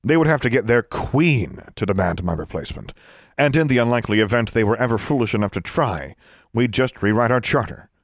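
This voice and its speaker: A man voicing a stout older wizard leader who speaks calmly and condescendingly. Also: mid-range voice, fairly quick, no echo, voice pushed up, natural voice. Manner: calm, dry, condescending. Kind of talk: audiobook character voice.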